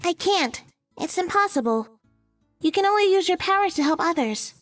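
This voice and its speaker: A woman's voice: extremely high pitch, expressive, normal speed, distant mic, faint music behind. A woman voicing an earnest, matter-of-fact young witch in a visual novel game.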